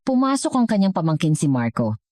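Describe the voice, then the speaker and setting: Low-voiced Filipino woman narrating a story. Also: sing-song, a little fast, dark tone, no room sound, studio quality. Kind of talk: scripted dramatic story narration.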